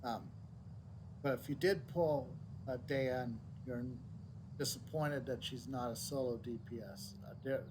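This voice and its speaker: A man's voice: medium pitch, a little slow, background noise. A man giving casual gameplay commentary as a streamer, thinking aloud hesitantly. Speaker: game streamer.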